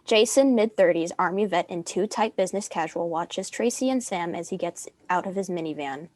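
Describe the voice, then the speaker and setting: A woman narrates a screenplay, reading out stage directions. Her voice is on the low side, and the recording is dry with almost no room sound. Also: normal speed, darkish tone, dry recording. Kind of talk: scripted narration.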